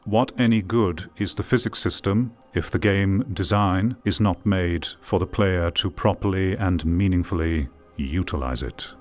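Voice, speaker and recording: A man giving reflective video game commentary in a measured, theatrical way with deliberate pauses. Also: low-pitched. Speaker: video game critic and content creator. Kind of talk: reflective scripted-sounding video essay commentary.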